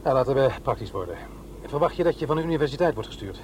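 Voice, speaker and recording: A man's voice: mid-range voice, lively intonation, rapid-fire, slight background noise, close to an everyday voice. An actor playing a practical, sceptical middle-aged divorced father in a Netherlands Dutch radio play, both talking and narrating. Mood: brisk, sceptical.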